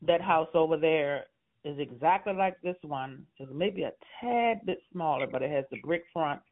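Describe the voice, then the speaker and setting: A man's voice: fairly high pitch, normal speed. Hesitant man in his sixties on a phone line. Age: 60s. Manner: hesitant, rambling with many fillers and short laughs. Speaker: homeowner on a phone call.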